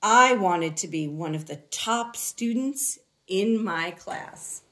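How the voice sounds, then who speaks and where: A woman reads a children's story aloud in a mid-range voice. Her pace is unhurried and deliberate. She is expressive, leaving dramatic pauses between phrases. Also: medium pitch. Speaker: picture book reader.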